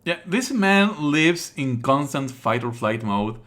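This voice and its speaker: Sardonic male streamer with medium pitch. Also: big pitch swings, slow, fairly bright tone. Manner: sardonic, profane, exasperated. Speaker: live streamer. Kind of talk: casual playthrough commentary.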